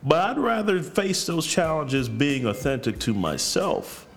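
Podcast guest: unhurried deep-voiced man, frank, swears freely. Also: big pitch swings, a little hiss or hum. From New York. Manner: direct, blunt, swears freely. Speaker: guest, counselor. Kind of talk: candid podcast conversation.

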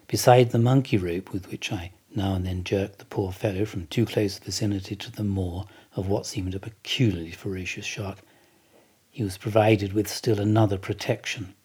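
A man reading a classic novel as an audiobook narrator, expressive and dramatic, with deliberate phrasing. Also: fairly low pitch, breathy edge.

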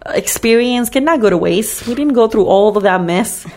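A woman's voice: on the high side, expressive, moderate pace, a little mellow. A woman co-hosting a casual chat, giving frank, emphatic dating advice and laughing often. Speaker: podcast co-host.

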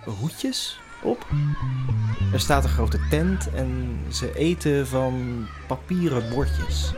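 A man narrating an audio drama in scripted, suspenseful short phrases with long pauses. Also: medium pitch. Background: music.

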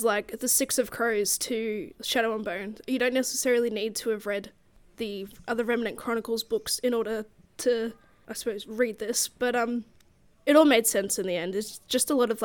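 A woman in her late twenties co-hosting a book club podcast. She has a cold, sounds a bit nasal, and rambles with lots of fillers. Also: medium pitch. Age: late 20s. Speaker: podcast co-host. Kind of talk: casual book club chat.

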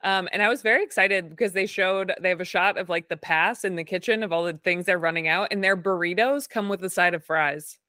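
Low-voiced woman, dry wry humor, recapping a show, very dry close sound. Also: brisk, few pauses, pushed and bright, no echo. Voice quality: decently low, masculine-leaning voice. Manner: dry, wry, laughs at her own jokes. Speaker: podcast host. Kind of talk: casual recap chat.

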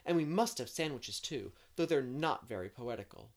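A dreamy young storybook heroine, voiced by a woman narrator. She talks effusively and poetically, with a very animated melody. Her phrases are emphatic and full of romantic eagerness. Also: very deep voice, normal speed, voice pushed up. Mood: excited, earnest. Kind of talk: audiobook character reading.

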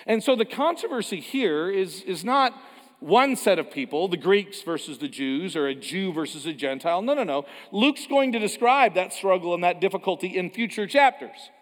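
A pastor from Canada giving a sermon in a conversational way, with joking asides and frequent restarts. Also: male voice, sits high, expressive, moderate pace. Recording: long hall reverb.

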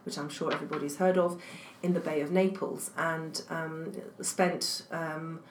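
Low-voiced woman, thoughtful with fillers. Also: steady tone, unhurried. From England. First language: French. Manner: thoughtful, many fillers and restarts. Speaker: guest academic. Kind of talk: interview answers. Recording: echoey room, faint noise.

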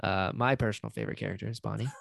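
A man's voice: fairly low pitch, fairly even intonation, rapid-fire, vocal fry, a bit bright, dry recording, clean studio sound. A man hosting a casual interview, talking in an enthusiastic, gushing way and teasing playfully. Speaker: podcast host and voice actor.

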